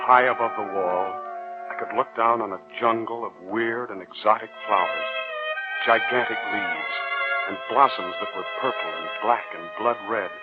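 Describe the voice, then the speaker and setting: Earnest, polite young male student acted by a man in vintage radio drama, theatrical delivery, tinny narrow-band sound with clear music behind. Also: on the low side, natural voice. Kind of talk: 1940s radio acting.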